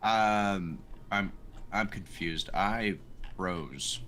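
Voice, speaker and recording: A man's voice: low-pitched, extremely slow, fairly bright tone. A man chatting casually as a player in a tabletop roleplaying game. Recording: clearly noisy background.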